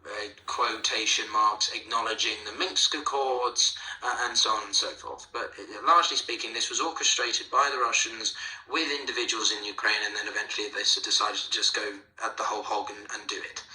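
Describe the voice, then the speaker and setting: A man's voice: lowish voice, steady tone, brisk, bright, forceful tone, roomy sound, rough recording. A man explaining political history in a lecturing way, with frequent fillers and restarts.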